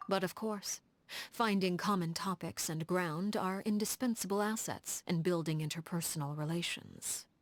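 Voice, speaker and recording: A woman playing a coolly elegant, formal leader of agents in a video game, speaking in a composed, measured and refined way. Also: lowish voice, no room sound, natural voice. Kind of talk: scripted video game character dialogue.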